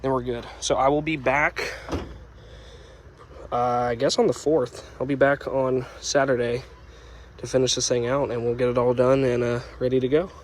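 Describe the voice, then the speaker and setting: Raspy young man, rambling garage vlog. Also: medium pitch, flat delivery, moderate pace, a little hiss or hum. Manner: rambling, filler-heavy, self-correcting. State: just woke up. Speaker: truck restoration vlogger. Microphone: phone camera.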